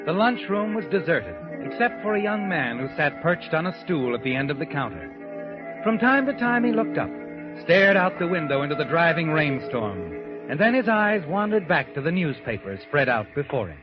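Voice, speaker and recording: A man's voice: fairly high pitch, very animated, normal speed. An actor playing an ominous, all-knowing male mystery narrator in a theatrical 1940s radio style. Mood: suspenseful storytelling. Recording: dull old 1940s radio recording, loud music.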